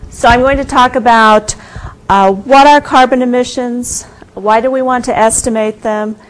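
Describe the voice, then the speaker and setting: A soft-spoken woman giving an informal guest lecture, hesitant, with many fillers and restarts. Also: a little high, fairly even intonation, normal speed, rough recording. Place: university lecture room.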